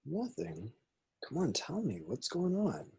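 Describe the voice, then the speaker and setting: A brash, impatient smuggler, played by a man, gruff but caring. The voice is mellow and husky with lively swings of pitch, in a table read over a video call. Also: unhurried, full character voice. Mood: concerned, exasperated.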